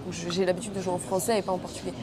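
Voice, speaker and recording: A woman from Brazil interviewed as an actress, speaking calmly and thoughtfully in casual talk. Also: on the low side, rapid-fire. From Rio de Janeiro, Brazil. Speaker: actress interviewed about her film. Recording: crowd noise behind.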